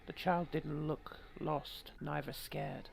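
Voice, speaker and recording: A woman's voice: sits low, expressive, slow pace, gravelly, slight background noise. A woman streaming a game, reading the story aloud with irreverent, sweary, joking commentary. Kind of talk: game playthrough, narration mixed with casual commentary.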